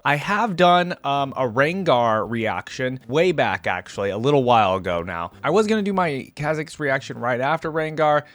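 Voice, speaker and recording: A man with a mid-pitched voice gives casual reaction commentary as a video host. His delivery is informal and rambling, often opening a sentence with emphasis and letting it fall away more softly at the end. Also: no echo. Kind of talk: casual reaction commentary mixed with reading aloud.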